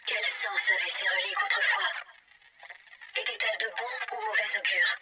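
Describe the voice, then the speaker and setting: High, brooding female AI guardian. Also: sing-song, pushed and bright, slight background noise, full character voice. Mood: pensive, doubting. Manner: measured, brooding, philosophical, questioning. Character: melancholic, pensive female AI guardian of an ancient alien installation. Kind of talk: scripted dramatic game monologue.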